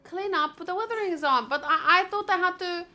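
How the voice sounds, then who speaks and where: High, bright-voiced woman chatting while gaming. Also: brisk. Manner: chatty, expressive, thinking aloud. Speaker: gaming streamer. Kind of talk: casual live game-stream commentary.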